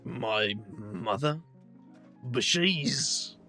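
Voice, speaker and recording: A gravelly, mid-pitched actor playing a man who is tired and sardonic, an adventurer in an audio drama. He talks drily, with music underneath. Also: lively intonation, drawn-out words, pushed and bright, exaggerated voice. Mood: wry, curious. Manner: dry, world-weary, sarcastic. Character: weary, sardonic supernatural adventurer with family baggage.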